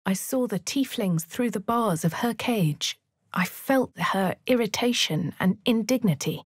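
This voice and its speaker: A woman voicing a posh, refined female adventurer in a video game, with a crisp, well-spoken English manner. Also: mid-range voice, no echo, clean studio sound, lightly acted. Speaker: player character voice lines. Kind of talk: game voice lines and narration.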